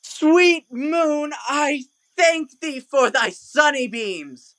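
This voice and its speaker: A woman's voice: high voice, lively intonation, very slow, a bit bright, dry recording, exaggerated voice. A woman playing a hammy amateur player as a doomed, grandly lamenting lover in a Shakespearean audio play, declaiming melodramatically. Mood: rapturous.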